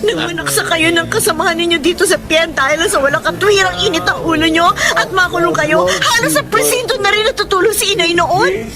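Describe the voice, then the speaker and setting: High-voiced, anguished grown daughter. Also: female voice, a little fast. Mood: hurt, reproachful. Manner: emotional, confronting, pleading. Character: anguished, reproachful grown daughter, a mother herself. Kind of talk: Tagalog radio drama acting. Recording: loud music under the voice.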